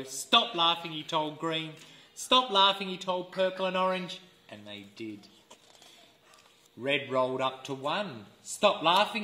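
A man reading a children's storybook aloud as an educator, expressive and deliberate, pausing for listeners to fill in words. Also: on the high side. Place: indoors, seated in a room with wooden floor. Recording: roomy indoor camera sound.

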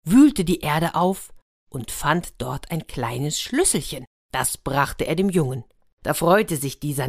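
A woman narrating a fairy tale for children, expressive and dramatic, with hushed passages and drawn-out words. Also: fairly low pitch, no room sound, clean studio sound. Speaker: storyteller, host of a children's story channel.

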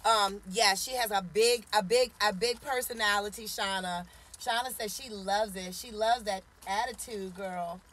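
A woman's voice: medium pitch, a little slow, fairly bright tone. A woman in her forties hosting a casual live stream from a parked car, chatting and teasing and greeting viewers by name. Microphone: phone camera at arm's length.

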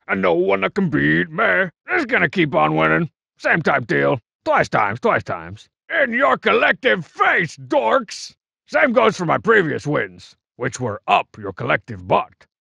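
Short-tempered masked male cartoon villain for a game: very gravelly, bright and forceful, juvenile, boastful and slangy. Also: fairly high pitch, no room sound, full character voice. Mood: gloating. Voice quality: gravelly, raspy. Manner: boastful, mocking, slangy, exaggerated delivery. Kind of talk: video game character barks.

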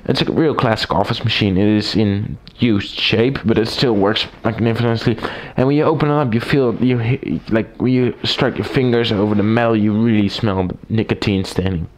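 A man with a raspy voice, recovering from a cold, casually reviewing a typewriter at his desk, rambling with fillers and restarts. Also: fairly low pitch, moderate pace, few pauses, a little hiss or hum. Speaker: typewriter collector reviewing a machine. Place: small room at a desk, indoors. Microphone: camera mic, speaker off-camera.

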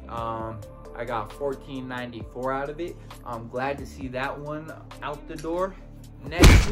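Man in his thirties, music behind. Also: medium pitch. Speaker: video host, online reseller. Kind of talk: casual product rundown to camera. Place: living room indoors, seated on a couch.

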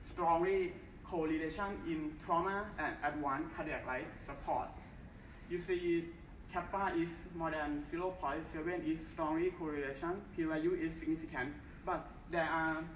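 A young man from Thailand with a Thai accent, giving a formal research talk in careful, halting English at a conference. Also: on the high side. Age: young adult. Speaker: conference presenter. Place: large bright conference hall. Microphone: far camera, no mic. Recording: echoey hall from far off, faint hiss.

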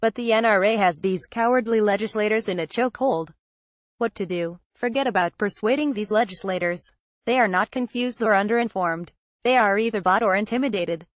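Female reader for an op-ed; steady, measured, emphatic pauses, dry booth sound. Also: medium pitch, no room sound. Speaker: reader of an opinion column. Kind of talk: read-aloud opinion column.

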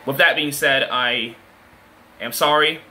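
A man giving casual gameplay commentary, chatty with frequent fillers and an occasional stutter, whooping loudly at big plays. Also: medium pitch, steady tone, slow pace. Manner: chatty, self-described stutter, many fillers. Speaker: gaming video creator.

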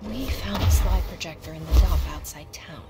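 A woman's voice: fairly low pitch, moderate pace, natural voice. An actress playing a determined, introspective young heroine, narrating her inner thoughts in a video game. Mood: reflective. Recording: loud music under the voice.

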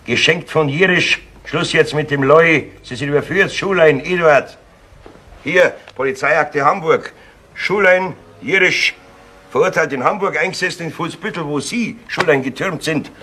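An actor playing a brusque, persistent Munich police inspector with a Bavarian accent in a German radio crime drama. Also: male voice, fairly high pitch, sharp tone, husky. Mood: accusing, firm. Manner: blunt, interrogating, deliberate emphasis. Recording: noisy 1970s radio play recording.

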